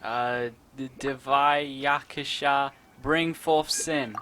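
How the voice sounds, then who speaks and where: Female gamer, very low pitch, very slow delivery, casual commentary, dialogue read aloud. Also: fairly even intonation, fairly bright tone, a little hiss or hum. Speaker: gamer playing a story quest. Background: game music.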